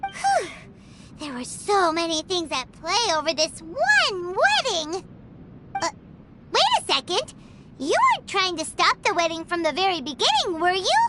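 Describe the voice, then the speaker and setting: A woman voicing a tiny, childlike fairy companion in a game, squeaky, chirpy and inquisitive, blurting things out bluntly. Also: very animated, unhurried, heavy background noise, heavily acted. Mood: amazed, suspicious.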